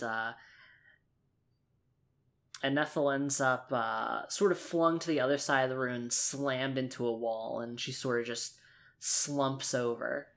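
Slow, hesitant man narrating a tabletop game. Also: medium pitch, vocal fry, fairly bright tone. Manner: hesitant, circling back over phrases.

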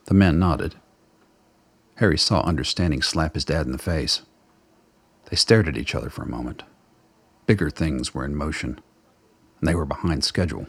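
A man with a husky voice narrating a scripted radio play in prose. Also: rumbling low, moderate pace, pauses often.

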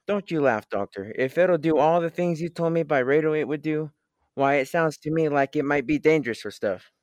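Man acting worried plain-spoken spaceman, hesitant delivery, old-time radio drama, higher-pitched. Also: brisk, dry recording, close to an everyday voice. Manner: hesitant, stammering.

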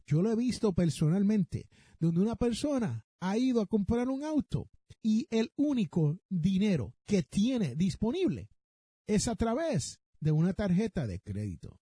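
A Puerto Rican man presents a podcast monologue in a high voice that swings widely up and down. The tone is husky and soft-edged. He speaks emphatically, addressing listeners directly and dropping in English terms. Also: sing-song, a little slow, dry recording, studio quality. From Puerto Rico. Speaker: personal finance podcast host. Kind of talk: podcast monologue giving money advice.

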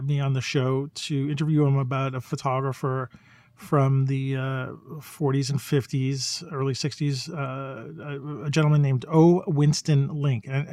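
A man talks casually as a podcast host, with a mid-pitched voice. He often pauses and searches for the next word, and the sound is close and dry. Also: darkish tone, dry recording. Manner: halting, searching for words. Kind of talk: casual conversational podcast chat about photography.

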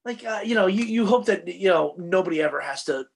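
A man co-hosting a podcast, talking casually about screen entertainment in a rambling, halting way that often circles back on itself. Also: high voice, animated, gravelly, breathy edge. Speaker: podcast co-host. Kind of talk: casual panel chat about TV and film.

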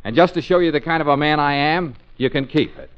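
An actor playing a slick, scheming private investigator in an old radio comedy-mystery, sweet-talking, bossy and prone to stammering bluster. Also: male voice, fairly high pitch, quick pace, loud music behind the voice, natural voice. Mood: coaxing. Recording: dull vintage radio recording, faint noise.

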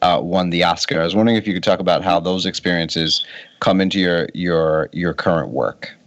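Deep-voiced male interviewer. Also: steady tone, brisk, dull audio. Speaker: interview host. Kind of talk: interview questions.

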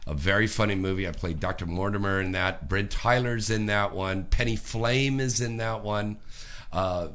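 A man's voice: on the low side, normal speed. A man hosting a casual interview, chatting and teasing his guest. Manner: lively, teasing, jokey. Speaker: interview host.

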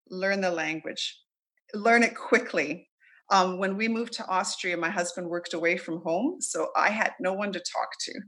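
Bright-voiced woman, chatty. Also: mid-range voice. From Canada. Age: middle-aged. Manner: chatty, with frequent ums and laughs. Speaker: interview guest. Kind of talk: casual conversational interview.

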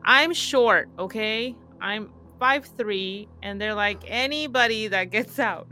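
Woman, 30s, podcast co-host with a bright, ringing voice. Chatty and quick to laugh, reads fan questions out loud. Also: mid-range voice, a little hiss or hum. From Southern California. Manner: laughs often, chatty and animated. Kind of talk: casual live podcast chat.

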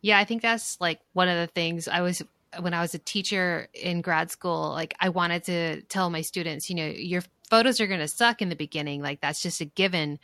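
A woman's voice: fairly low pitch, normal speed, few pauses, sharp tone, no room sound. A woman hosting a podcast, reading a guest introduction and then chatting casually. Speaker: podcast host.

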